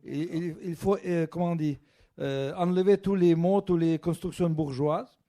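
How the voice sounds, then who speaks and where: A man's voice: fairly high pitch, fairly even intonation, moderate pace, dark tone. Hesitant man with a Russian accent. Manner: hesitant, searching for French words. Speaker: guest author. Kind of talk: bookshop interview.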